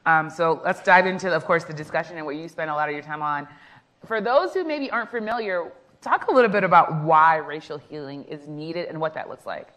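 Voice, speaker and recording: A woman in her thirties whose first language was Haitian Creole, interviewing on an indoor festival stage with a lapel mic, lively and laughing easily. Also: low voice, a little fast. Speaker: interviewer, journalist. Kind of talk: onstage festival conversation.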